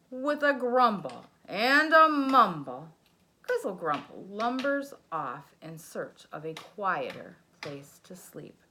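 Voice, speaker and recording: Female teacher reading to kids; unhurried, bright, very expressive, plays up the sound effects. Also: mid-range voice, slight background noise. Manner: animated, sound effects, asks listeners questions. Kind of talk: children's read-aloud.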